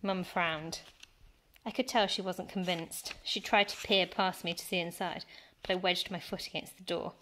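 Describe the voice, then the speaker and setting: Expressive woman reading a children's story. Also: on the low side, normal speed, low-quality recording. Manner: animated, dramatic emphasis for young children. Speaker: primary school teacher reading story time.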